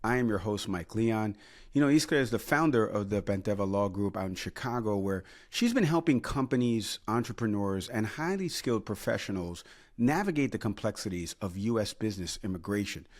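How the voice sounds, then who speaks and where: A man's voice: on the low side, expressive, brisk. A man hosting an interview podcast. He talks in a friendly, conversational way, with fillers like "you know" and "like.". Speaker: podcast host.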